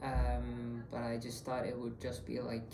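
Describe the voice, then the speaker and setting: A young man from India answers interview questions in a relaxed, halting way with many fillers. His voice is mellow and dark. The recording has a roomy echo and faint background noise. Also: medium pitch, creaky. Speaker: student interviewee.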